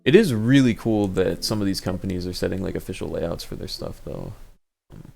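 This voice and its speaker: A man with a very deep, creaky voice talks casually through gameplay. He wanders from thought to thought, hesitating and working things out aloud. Music plays behind him. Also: animated, a little fast, darkish tone. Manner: rambling, hesitant, thinking aloud. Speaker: video game showcase host. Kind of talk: casual off-the-cuff gameplay commentary.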